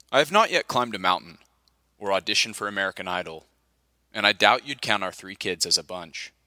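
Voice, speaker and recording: A man's voice: lowish voice, bright, forceful tone, no room sound. A man reading a nonfiction audiobook as scripted narration, close to the microphone.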